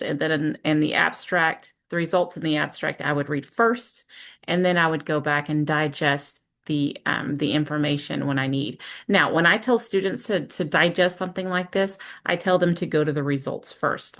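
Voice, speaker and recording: A woman's voice: deep voice, fairly even intonation, normal speed, fairly bright tone. A woman teacher giving an informal screen-share walkthrough, thinking aloud step by step in a conversational way. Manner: conversational, explains step by step with self-questions and check-ins.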